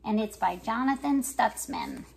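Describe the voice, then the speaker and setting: A woman in her thirties with a high voice. She talks to young children as a school story-time reader, recorded at home a short way from the camera. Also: huge pitch swings, unhurried, creaky, a little hiss or hum. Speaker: school staff member reading a story to children. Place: living room at home. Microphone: camera or phone a short distance away.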